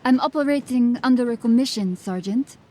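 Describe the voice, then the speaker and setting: A woman playing a cocky, smooth-talking female ship captain who is breezy and evasive with the law. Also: fairly high pitch, brisk, natural voice. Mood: sly. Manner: breezy, evasive, gently mocking.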